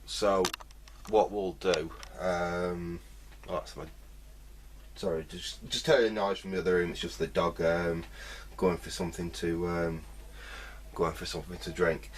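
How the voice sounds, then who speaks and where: A man's voice: low voice, level tone, measured pace, a little hiss or hum. A man giving a casual hands-on repair commentary, thinking aloud hesitantly in fragments. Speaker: host of a vintage electronics repair video.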